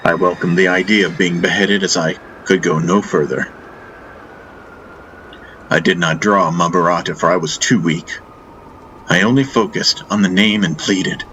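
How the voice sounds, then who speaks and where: Low-voiced man narrating fantasy, forceful. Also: slight background noise, poor audio. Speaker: audiobook narrator. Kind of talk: dramatic scripted first-person fantasy narration. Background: faint music.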